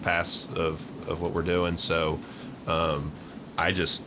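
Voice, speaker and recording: A man with a deep voice in a casual chat, telling stories in a rambling, jokey way and swearing freely. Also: monotone, slow. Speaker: interview guest. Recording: noisy recording with low rumble.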